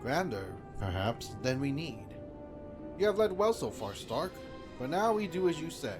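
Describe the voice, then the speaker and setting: A man voicing a sly, skeptical alien mercenary in a comic dub, deliberate, insinuating and menacing. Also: fairly high pitch, big pitch swings, over music.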